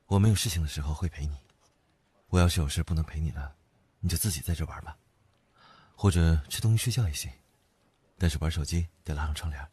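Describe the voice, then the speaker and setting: An actor playing an indulgent, unflappable company boss and older boyfriend, speaking calmly and dotingly in an audio drama. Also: male voice, very deep voice, fast talker, pauses often, breathy edge, no room sound, full character voice. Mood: reassuring. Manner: calm, gently doting. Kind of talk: audio drama acting, intimate low-key talk. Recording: background music under the voice.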